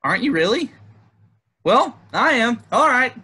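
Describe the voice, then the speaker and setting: A boyish, excitable young husband from a stage play played by a man. The voice is husky and forceful, swinging widely in pitch. He is breezy, cheeky and full of exclamation. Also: a little slow, exaggerated voice. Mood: cheerful. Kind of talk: stage play table read.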